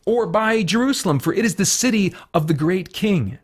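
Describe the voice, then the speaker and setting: A man hosting an informal Bible study for an online audience, talking in a casual, halting way as he thinks aloud with frequent asides. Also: high-pitched, expressive, slightly rough. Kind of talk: informal sermon, conversational Bible teaching.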